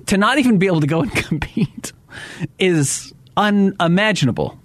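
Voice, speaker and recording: A man's voice: high-pitched, animated, moderate pace, dry recording. A man co-hosting a sports radio show, chatting casually and laughing as he tells stories. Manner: chatty, laughs while telling stories, teasing. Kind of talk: casual sports talk banter. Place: radio studio. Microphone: studio broadcast mic.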